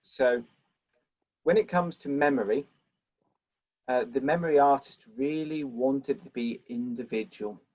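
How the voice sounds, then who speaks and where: A man answers questions after his lecture on an online symposium. He talks in a thoughtful, appreciative way at a mid-range pitch, and he thanks the people who ask. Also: unhurried, long pauses, a little mellow. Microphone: video call.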